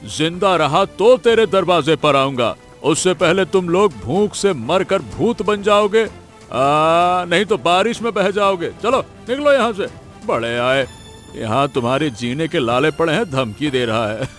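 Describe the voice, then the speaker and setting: Man acting a slow-talking, callous greedy village healer. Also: a little high, lively intonation, a bit bright, soft background music. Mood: mocking. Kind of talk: Hindi cartoon story dialogue.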